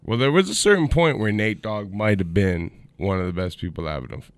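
Male podcast co-host, 30s, low voice, laid-back, hums beats, close dry studio mic. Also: expressive, brisk, no room sound. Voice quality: low-pitched voice. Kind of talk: casual chat. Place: small radio studio. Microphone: studio mic on arm, headphones.

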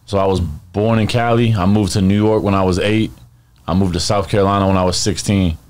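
A young man from the United States, a podcast guest, telling stories in a relaxed, joking way on a studio mic. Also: low voice, brisk. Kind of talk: casual interview talk.

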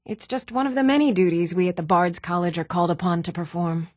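An actress playing an even-toned, earnest young townswoman in a fantasy video game, with measured delivery. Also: on the low side, dry recording, clean studio sound, close to an everyday voice. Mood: polite. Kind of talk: video game character voice lines.

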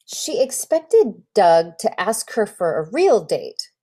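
A woman in her forties reads a memoir as an actor would, with expressive, deliberate phrasing and dramatic pauses, recorded indoors on a video call. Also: medium pitch, husky. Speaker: actor reading a memoir excerpt. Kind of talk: dramatic literary reading, narrative storytelling.

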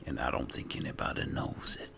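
Un uomo che commenta un videogioco in stile gioco di ruolo, riflettendo ad alta voce nei panni del personaggio, con musica di gioco sotto. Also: male voice, a little fast, background noise. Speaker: gaming channel host role-playing as an alchemist. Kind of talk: slow, musing in-character game roleplay commentary. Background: game music.

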